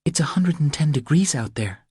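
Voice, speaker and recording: A man voicing a nervous, apologetic woman driver who pleads hesitantly for help in a thriller audiobook, with a breathy voice. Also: a little high, animated, normal speed, darkish tone, no echo, clean studio sound, voice pushed up. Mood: hesitant, pleading.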